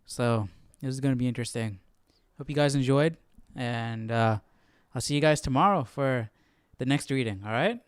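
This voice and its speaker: A man's voice: medium pitch, moderate pace, few pauses, clean tone, no echo, clean studio sound. A man hosting a Bible study stream, commenting casually and chattily on a reading.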